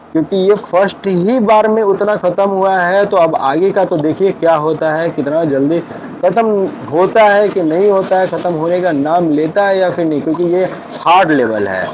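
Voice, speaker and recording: A man's voice: sits high, darkish tone, a little hiss or hum, low-quality recording. A man doing live puzzle game commentary as host, in a rambling, repetitive way. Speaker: gaming host.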